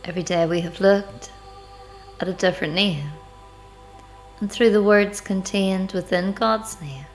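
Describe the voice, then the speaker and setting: Very slow-paced woman guiding a meditation. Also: mid-range voice. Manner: measured, deliberate phrasing with pauses. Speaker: guided meditation leader. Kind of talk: slow guided meditation, devotional narration. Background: background music.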